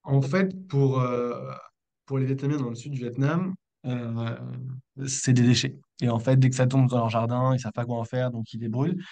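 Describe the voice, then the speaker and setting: A man's voice: mid-range voice, fairly even intonation, normal speed, dark tone. A man in his thirties, an entrepreneur telling his story casually as a podcast guest, informal and a little hesitant. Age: 30s. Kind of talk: casual spoken account in an interview.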